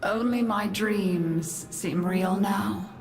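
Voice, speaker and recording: Slow, echoey, bitter matriarch. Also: female voice, mid-range voice. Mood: weary, bitter. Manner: slow, brooding, haughty delivery. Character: bitter, dreamy, infested matriarch, weary and scornful. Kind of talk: theatrical video game acting. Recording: echoey, with music underneath.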